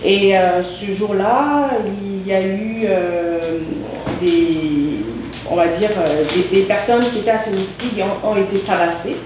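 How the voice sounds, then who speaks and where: Woman, guest, from France, halting speech, distant, echoey. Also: medium pitch, background noise. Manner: halting, full of fillers. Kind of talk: interview answers.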